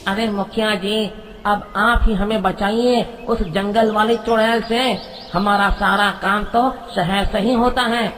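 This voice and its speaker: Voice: medium pitch, fairly even intonation, slow, fairly bright tone, a little hiss or hum. अभिनीत गूंजती आवाज़ वाला जोशीला गाँव का युवक. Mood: pleading. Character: village man, woodcutter or bold youth, brisk, earnest. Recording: long reverb, insect sounds behind.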